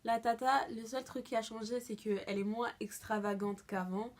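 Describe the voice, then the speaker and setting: A young woman vlogger giving a casual, expressive reaction commentary full of slang. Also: fairly high pitch, normal speed.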